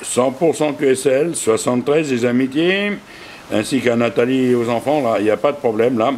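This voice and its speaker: A man's voice: medium pitch, moderate pace, darkish tone, slight background noise. A man working as an amateur radio operator, chatting casually and colloquially, with plenty of radio jargon. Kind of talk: casual radio contact chat.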